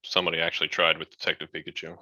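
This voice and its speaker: A young man with a low, strikingly sharp, forceful voice. He talks casually and skeptically, giving opinions among friends. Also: monotone, very fast, dry recording. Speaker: podcast co-host.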